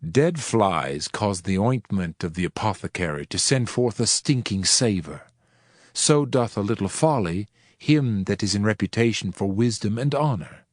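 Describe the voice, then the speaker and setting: A man playing a solemn ancient preacher-sage in a dramatized King James scripture reading, measured and reflective, with archaic diction. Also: on the low side, lively intonation, dry recording, studio quality. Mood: earnest, grave. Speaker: scripture reader in a dramatized audio Bible.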